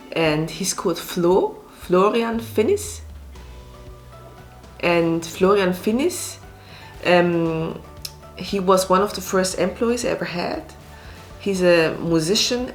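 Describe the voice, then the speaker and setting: Slow-talking woman from Switzerland. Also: fairly low pitch. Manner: speaks slowly, with many fillers and restarts. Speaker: restaurant owner and actress. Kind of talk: casual interview talk.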